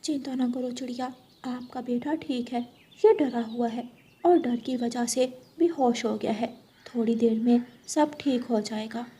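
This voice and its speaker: A woman playing a calm eagle doctor in a cartoon story, soothing and measured. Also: on the high side, slightly rough. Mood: reassuring.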